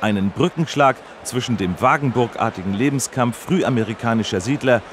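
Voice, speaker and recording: An actor playing a formal, earnest radio reporter delivering a wordy live report in a comedy sketch. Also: male voice, medium pitch, fairly quick, slight background noise, natural voice. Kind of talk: parody live news report.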